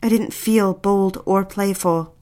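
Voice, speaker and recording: A woman's voice: mid-range voice, fairly even intonation, takes it slowly, darkish tone, natural voice. Woman acting an anxious, hesitant young wife. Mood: brooding, confessional. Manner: hesitant, pleading, repeats herself. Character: anxious, submissive young wife recalling a troubled marriage. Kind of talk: dramatic audio fiction, dialogue and confessional narration. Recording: music behind the voice.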